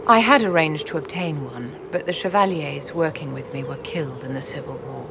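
An actress playing an elegant, secretive court enchantress in a fantasy game, with a haughty, polished, formal manner. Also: female voice, low voice, moderate pace, noisy background. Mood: courtly, grave. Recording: clearly audible background ambience.

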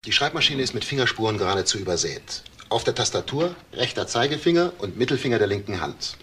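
An actor playing a precise, formal forensic police expert reporting findings in a crime drama. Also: male voice, lowish voice, lively intonation, fast, sharp tone, slight background noise. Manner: formal, exact. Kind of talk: German TV crime drama acting.

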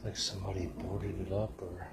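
A man's voice: sits low, flat delivery, brisk, heavy background noise. A man hosting an exploring video, giving casual, off-the-cuff commentary with muttered exclamations and some swearing. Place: on location at an abandoned house.

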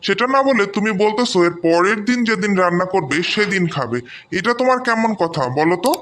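A high male voice with a Bangladeshi accent , acted by a man, plays a young cartoon brother. He is defiant and protective, pressing his argument insistently. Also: expressive, brisk, fairly bright tone, a little hiss or hum. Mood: indignant. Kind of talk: amateur cartoon story dubbing.

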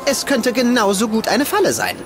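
A man's voice: very high-pitched, huge pitch swings, moderate pace, heavily acted. A man playing a wary, loyal, fretting valet in a German audio drama. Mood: worried. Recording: music behind the voice.

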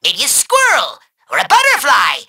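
A man playing a pompous, short-tempered evil overlord in a video game, ranting in a shrill, grandiose, theatrical way. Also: wildly swooping pitch, normal speed, bright, forceful tone, slightly rough, full character voice. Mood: scheming. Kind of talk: exaggerated cartoon villain monologue.

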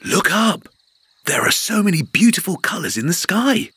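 A cheerful parrot papa. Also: male voice, sits high, very animated, normal speed, heavily acted. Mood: explaining. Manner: gentle, explaining, counting out a march. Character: cheerful green parrot papa, patient and playful. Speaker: father teaching his kids. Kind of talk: children's cartoon voice acting. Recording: studio voice over loud music.

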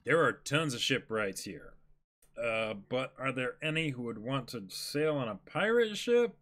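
A man's voice: medium pitch, big pitch swings, measured pace, a bit bright. A man in his twenties co-hosting a dramatic reading, giving scripted lines with casual asides. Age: 20s. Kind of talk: casual chat and character reading. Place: small indoor room, seated before a backdrop.

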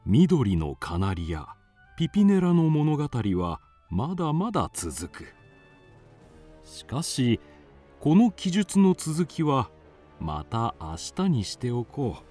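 A man's voice: mid-range voice, dark tone. A man playing a thoughtful, kindly doctor to animals in an audio drama, musing in an avuncular way.

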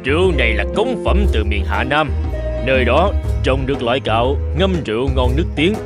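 A man's voice: mid-range voice, animated, fairly quick, close to an everyday voice. A man voicing a pompous aging king in a cartoon, speaking in a grand, imperious way, with music behind. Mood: pleased. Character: pompous aging male king, easily offended. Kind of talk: cartoon voice acting.

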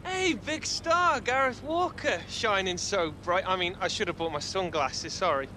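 A man's voice: high-pitched, lively intonation, normal speed, bright, forceful tone. A man playing a cheeky, sarcastic young footballer friend in a game cutscene. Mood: teasing. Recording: noisy outdoor street ambience.

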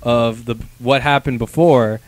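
A man's voice: mid-range voice, steady tone, unhurried, no room sound. A young man co-hosting a casual comic chat, thoughtful and a bit hesitant, speaking into a handheld mic in a small indoor studio. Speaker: co-host.

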